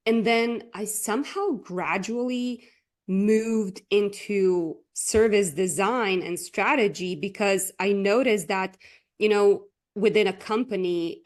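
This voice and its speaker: A woman from Croatia, speaking English, chatting as a guest coach on a podcast with lots of fillers and frequent laughter. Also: medium pitch. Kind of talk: casual interview chat.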